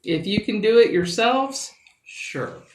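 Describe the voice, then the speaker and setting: A woman's voice: medium pitch, sing-song, slow, recorded from a distance. A woman voicing a reluctant, dismissive male landlord in a children's storybook. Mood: grudging. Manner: grudging, dismissive. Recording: roomy, echoing sound.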